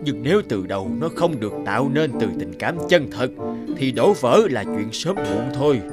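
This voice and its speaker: A man's voice: mid-range voice, lively intonation, moderate pace, over loud music, close to an everyday voice. A man voicing a stern old cartoon god with a grand, admonishing manner. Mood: solemn, forgiving. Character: stern old bearded supreme god, commanding, scolding. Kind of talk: animated fable dialogue.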